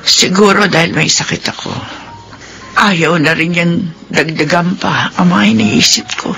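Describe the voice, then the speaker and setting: A Filipino woman playing an ailing, forgiving mother in a radio drama, gentle and measured. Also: lowish voice, soft background music. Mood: gentle, sorrowful. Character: ailing, forgiving, patient mother of grown children.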